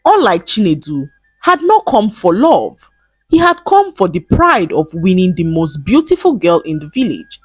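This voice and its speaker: A woman storyteller reading a scripted folktale in a measured, dramatic storybook manner. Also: mid-range voice, breathy edge, dry recording.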